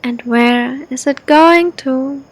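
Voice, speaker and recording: Very slow, high-pitched woman guiding meditation. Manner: slow, deliberate, spaced-out short phrases. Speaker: meditation guide. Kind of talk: guided sleep meditation.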